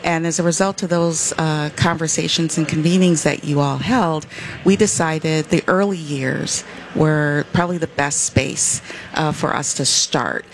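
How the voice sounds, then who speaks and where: An interview guest from West Michigan who explains their organization's work at length in a measured way, with frequent "uh" fillers. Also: medium pitch, steady tone, slight background noise. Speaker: foundation representative, guest.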